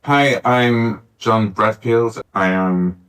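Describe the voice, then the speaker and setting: A man's voice: fairly low pitch, drawn-out words, fairly bright tone, smooth. A man in his forties speaking as a writer on a live discussion panel. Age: 40s. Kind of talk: spoken self-introduction at live panel. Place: arts centre theatre, live panel. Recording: distant backup live recording.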